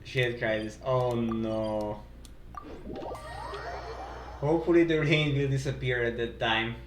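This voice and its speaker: A man giving casual live commentary on a game as a streamer, haltingly repeating phrases as he thinks aloud. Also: medium pitch, noisy background. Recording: roomy sound with game music. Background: video game music.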